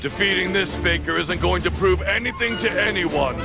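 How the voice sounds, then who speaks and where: An actor voicing a stern, proud, dismissive warrior with a gruff, gravelly voice in an English anime game dub. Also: male voice, high-pitched, moderate pace. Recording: loud music over the voice.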